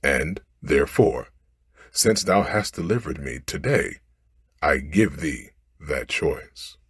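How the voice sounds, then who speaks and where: Man voicing a deep, husky, menacing genie. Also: expressive, a bit bright, no room sound, clean studio sound, heavily acted. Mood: bitter, vengeful. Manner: archaic, commanding, unhurried pronouncements. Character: stern, ungrateful, menacing genie freed from long imprisonment. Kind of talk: storybook character voice.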